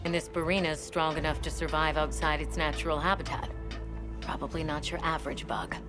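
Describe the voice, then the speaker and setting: A woman's voice: deep voice, steady tone, brisk, music in the background, close to an everyday voice. An actress playing a dutiful veteran female monster hunter leader in a video game, speaking with formal, resolute composure. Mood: businesslike. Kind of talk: video game cutscene voice acting.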